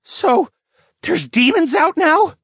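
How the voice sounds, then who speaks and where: Young lynx demi-human boy, man's performance, high pitch, blunt, excitable, boyish emphasis, fantasy storybook. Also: takes it slowly, no echo, studio quality, heavily acted. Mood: alarmed.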